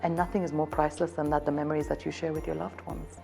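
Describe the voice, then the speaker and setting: A woman's voice: deep voice, flat delivery, quick pace, creaky, mellow, soft background music. A woman in her thirties from Zambia, speaking as a hotel events representative. She gives upbeat, promotional interview answers and keeps circling back to one theme.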